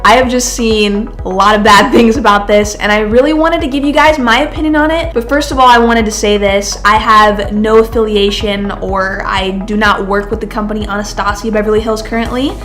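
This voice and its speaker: A young woman in her twenties with a stuffy, nasal voice talks through a makeup tutorial. She rambles in a chatty way, full of fillers, and calls her viewers beauties. Soft music plays faintly behind her. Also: medium pitch, fairly even intonation, normal speed. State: congested from a cold, nasal. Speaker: beauty vlogger. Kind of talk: casual makeup tutorial talk.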